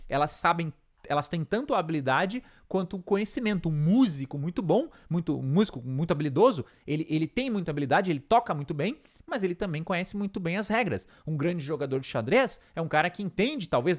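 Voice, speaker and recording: A man with a Brazilian Portuguese accent teaching an English lesson, explaining step by step and checking in with frequent tag questions. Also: fairly high pitch.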